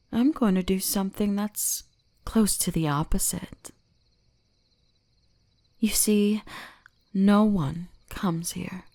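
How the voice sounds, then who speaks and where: A woman plays a seductive fox-spirit shrine maiden who devours souls, speaking very slowly with a creaky edge. She is teasing and gently dominant in an ASMR roleplay, often sinking into whispers. The sound is close and dry. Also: mid-range voice, no room sound. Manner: slow, intimate, teasing, often dropping into whispers.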